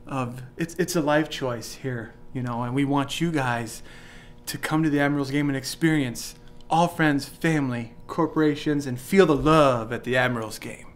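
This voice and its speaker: A man giving a folksy, effusive sales pitch as an interview guest, repeating phrases for emphasis. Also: normal speed.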